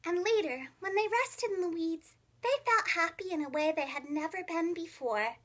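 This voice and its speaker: Very high-pitched woman reading a storybook. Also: normal speed. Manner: expressive, simple phrasing for young children. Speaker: preschool teacher and storyteller. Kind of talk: children's storybook narration.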